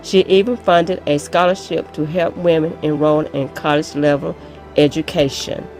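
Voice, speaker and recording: A woman's voice: low voice, steady tone, moderate pace, a little mellow, low-quality recording. A woman reading a scripted narration aloud as a volunteer reader. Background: music.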